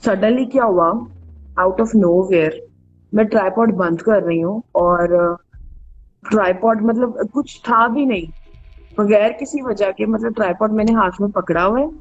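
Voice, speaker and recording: A woman's voice: mid-range voice, a little slow. A woman casually telling personal stories as a show host, chatty and mixing in English phrases. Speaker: host of true ghost story show. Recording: dull band-limited audio, background music. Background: music under the voice.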